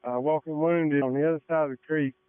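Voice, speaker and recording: Fast-talking male fire commander on radio. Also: on the high side, fairly even intonation, clear, resonant tone, no room sound. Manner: direct, businesslike. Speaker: fireground incident commander. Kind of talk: fire radio traffic, giving orders. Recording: narrowband fire radio transmission.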